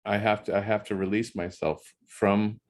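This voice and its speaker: A man's voice: lowish voice, fairly even intonation, moderate pace. A man hosting an interview, speaking reflectively and repeating words as he searches for the right ones. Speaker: interview host. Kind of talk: casual interview chat.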